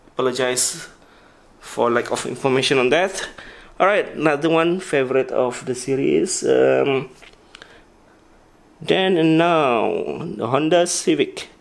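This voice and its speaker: A man casually walking through his diecast car collection, talking haltingly and listing models one by one. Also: medium pitch, gravelly. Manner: halting, with restarts and listed names. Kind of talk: casual toy collection walkthrough.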